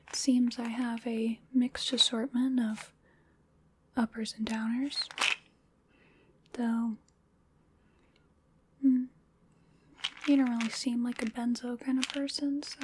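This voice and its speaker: A woman playing a playful, fussy vampire in a whispered ASMR roleplay, musing softly and coaxing with a mildly bossy touch. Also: on the high side, background noise. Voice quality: soft breathy whisper. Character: playful, fussy female vampire seasoning her human snack.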